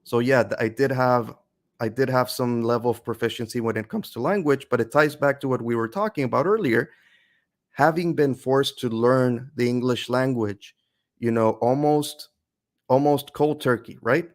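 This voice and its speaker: Reflective man speaking with halting restarts. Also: medium pitch. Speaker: interview guest, English teacher. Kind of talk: reflective interview conversation.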